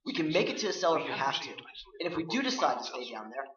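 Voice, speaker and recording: An actor as an earnest, high-voiced young man. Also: sing-song, fast, recorded from a distance, crowd noise. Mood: urgent. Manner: pleading, conciliatory. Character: earnest, reasonable young man trying to make peace. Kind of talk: staged radio play acting. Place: live stage performance. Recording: echoey, dull live recording, audience noise.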